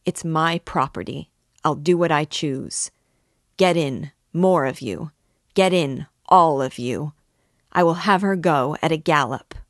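A woman voicing a brutal, drunken young peasant man who shouts boastful, goading commands in an audiobook. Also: deep voice, fairly even intonation, measured pace, creaky, no echo, studio quality, exaggerated voice. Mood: furious. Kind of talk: audiobook character voice.

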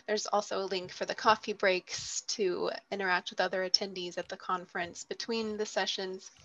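A woman's voice: mid-range voice, a bit bright, highs cut off. A woman moderator in a friendly manner, thanking the audience and wrapping up an online conference session over a video call.

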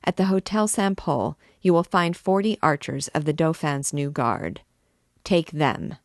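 A woman's voice: sits low, measured pace, no room sound, clean studio sound. A woman reading as a wrathful old king. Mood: cold wrath. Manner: commanding, rising to shouted orders. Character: old fierce male king, wrathful and cunning.

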